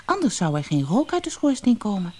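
Little girl played by a woman, storybook: curious, brave, wide-eyed, high and animated. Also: brisk, a little hiss or hum, lightly acted. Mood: wondering. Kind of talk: storybook character voice.

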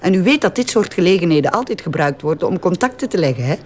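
A woman's voice: on the low side, lively intonation, fast talker, a little mellow, natural voice. A woman with a Flemish accent playing a shrewd, confident investigative tabloid journalist in an audio drama, with a knowing, teasing manner. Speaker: actress in an audio crime drama. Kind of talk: naturalistic audio drama dialogue.

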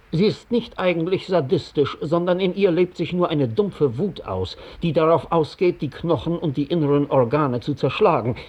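An actor playing a captured British spy giving testimony in a 1960s German radio drama, precise, deliberate and coolly ironic. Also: male voice, on the high side, a little mellow, husky.

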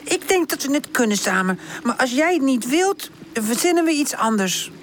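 A woman's voice: high voice, sing-song, moderate pace, slight background noise, pitched above the performer's own voice. A woman voicing a protective, brave but nervous older boy puppy in a children's storybook, sometimes hesitant. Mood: reassuring. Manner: stammers and hesitates when worried.

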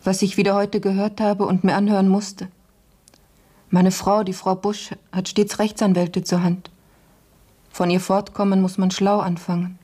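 Serious young housemaid, flat and mellow actress playing. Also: female voice, medium pitch, normal speed, close to an everyday voice. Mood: subdued, serious. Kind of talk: German radio play acting.

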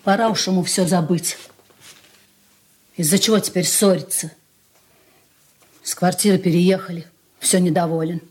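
An actress playing a discontented, nosy grown daughter in a radio play, brisk and complaining. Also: female voice, lowish voice, steady tone, darkish tone, natural voice. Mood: aggrieved.

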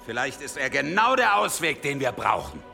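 An actor playing a menacing gang leader, gravelly, commanding and sneering, in a radio drama. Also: male voice, mid-range voice, sing-song, moderate pace, pushed and bright, faint music behind, exaggerated voice. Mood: impatient, commanding. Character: menacing, mocking, illiterate male gang leader.

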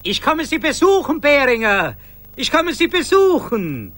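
An actor playing a polite, solicitous visiting friend in a radio play, courteous and chatty. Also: male voice, very high-pitched, wildly swooping pitch, unhurried, a bit bright, full character voice. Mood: sociable, concerned. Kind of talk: 1980s German radio play acting.

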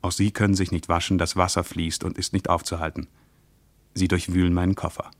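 Low-voiced, weary travel diarist. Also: male voice, little pitch movement, a little fast, no echo. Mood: weary, observant. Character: weary male traveller reading his journal aloud. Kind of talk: literary radio drama diary narration.

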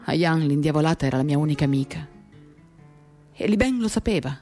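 A woman giving a dramatic, confiding first-person reading of literary prose, measured and intimate, in short reflective phrases. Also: low-pitched, animated, warm tone. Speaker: reader of a novel for radio theatre. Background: acoustic guitar music.

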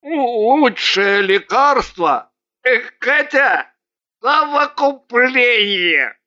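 A woman's voice: fairly high pitch, expressive, sharp tone, highs cut off, voice pushed up, exaggerated voice. A woman voicing a very drunk man in a story, a pedantic, obliging husband who slurs and halts self-importantly. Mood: tender, earnest. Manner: slurred, halting, self-important drawl.

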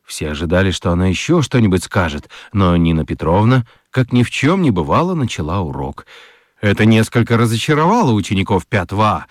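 A man narrating a children's radio drama as an expressive storyteller, reading scripted literary prose. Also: lowish voice, fairly quick, no echo, clean studio sound.

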